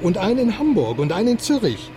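Excitable high-pitched male sidekick detective. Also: quick pace, noisy background. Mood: wry, intrigued. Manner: animated, wry, colloquial exclamations and questions. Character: excitable, chatty East Berlin investigator sidekick, male. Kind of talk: radio crime drama dialogue. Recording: music behind the dialogue. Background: music, moving car.

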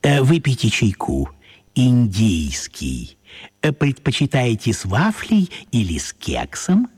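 Fussy scatterbrained wizard, slow husky voice, keeps dithering, kids radio play. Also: male voice, medium pitch, huge pitch swings, a little mellow, exaggerated voice. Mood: polite. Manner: hesitant, rambling, fussily polite. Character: fussy, apologetic, absent-minded male wizard. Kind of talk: children's radio play acting.

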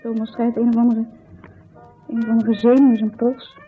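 A distraught wife played by a woman in a radio play, bitter and halting as she tells what happened. The sound is thin and phone-like, like a seventies broadcast, with some music behind. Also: on the high side, flat delivery, close to an everyday voice. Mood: upset, indignant. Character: distraught, bitter wife of an arrested teacher, telling her story. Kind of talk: naturalistic radio drama acting.

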